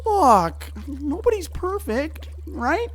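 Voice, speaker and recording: Man playing a squeaky, stammering, naive young sidekick. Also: sing-song, slow pace, exaggerated voice. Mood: earnest, consoling. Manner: stammering, flustered, earnest. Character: naive, dim, eager young male sidekick, nervously upbeat. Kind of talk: audio drama acting.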